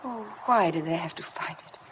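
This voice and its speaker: An actress playing a meek, anxious young wife in a 1950s radio drama, breathless and earnest. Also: female voice, on the low side, normal speed, a bit bright, slight background noise, natural voice. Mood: worried. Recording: vintage 1950 radio broadcast.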